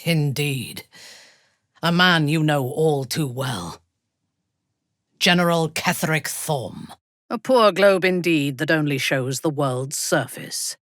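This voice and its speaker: Cold haughty dark elf warrior lady for a video game, breathy husky voice, slow talker, super dry recording. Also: low-pitched, no room sound. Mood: commanding. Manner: haughty, deliberate, commanding. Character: cold, imperious, ruthless female dark elf warrior-priestess. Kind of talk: video game character dialogue.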